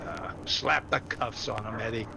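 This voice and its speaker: A man playing an easygoing but authoritative police chief, gruff and unhurried, in 1940s radio style. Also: lowish voice, background noise. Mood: relaxed, businesslike. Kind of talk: 1940s radio drama acting.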